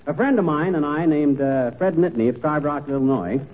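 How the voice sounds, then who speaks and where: A man's voice: fairly high pitch, animated, a little fast, natural voice. A man playing a blustery, bumbling but kindly married wisecracker with folksy, slangy patter, in 1940s radio comedy style. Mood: boastful. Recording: tinny, noisy 1940s radio recording.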